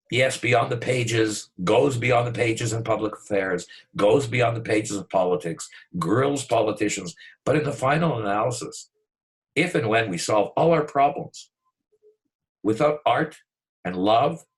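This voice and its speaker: A man hosting an interview show, giving an expansive, flattering introduction and often repeating himself. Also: on the low side. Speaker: talk show host. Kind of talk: casual, effusive interview introduction.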